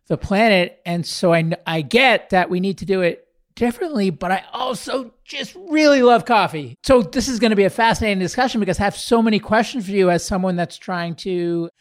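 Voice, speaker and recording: A man's voice: sits high, dry recording, clean studio sound. A man hosting a podcast, introducing the show and interviewing a guest.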